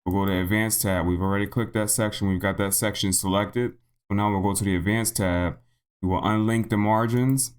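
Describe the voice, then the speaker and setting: Male tutorial host, lowish and a little hoarse, informal walkthrough delivery that thinks out loud. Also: fairly even intonation, a little fast. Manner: thinks aloud, repeats himself. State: slightly hoarse. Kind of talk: casual unscripted software tutorial narration.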